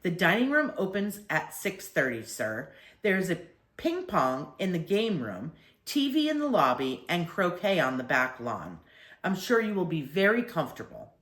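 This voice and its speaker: A polite, obliging bellboy from a children's story, as a woman reader plays him. He reassures guests with lively intonation in an echoey room. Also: fairly low pitch, moderate pace. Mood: courteous. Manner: courteous, reassuring.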